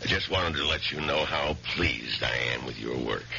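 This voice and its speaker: Very deep, gravelly older law boss. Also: male voice, moderate pace, pushed and bright, heavily acted. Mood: approving. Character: genial older senior law partner and father. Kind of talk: old-time radio drama acting. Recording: noisy dull 1970s radio recording.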